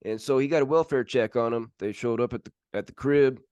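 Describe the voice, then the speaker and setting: Man, sports podcast co-host, clear resonant tone, dry close sound, informal, halting news delivery. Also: mid-range voice, darkish tone, no room sound. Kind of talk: casual sports news chat.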